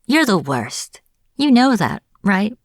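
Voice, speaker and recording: A woman's voice: mid-range voice, big pitch swings, slow pace, dry recording, clean studio sound, full character voice. A woman acting as a captured witch in a fantasy audio roleplay, irritable and defiant, speaking informally with sarcastic exasperation. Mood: irritated, scornful. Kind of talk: dramatic fantasy audio roleplay, addressed to the listener.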